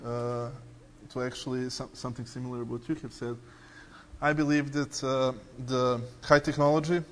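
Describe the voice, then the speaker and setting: A man with a Serbian accent speaks in English on a conference panel, his pitch fairly flat and even. His voice carries a long hall reverb over a faint background hum, and he pauses often on "uh" as he builds his sentences. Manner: fluent but hesitant, many "uh" fillers and restarts. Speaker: tech company CEO on a panel. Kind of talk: panel introduction.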